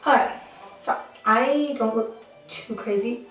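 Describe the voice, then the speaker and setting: Young woman, high voice, playful, self-mocking, echoey bathroom, faint music. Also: slow pace, a bit bright, far from the mic. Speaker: beauty vlogger. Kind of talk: casual makeup vlog chat. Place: small tiled bathroom, indoors. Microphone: camera mic at arm's length.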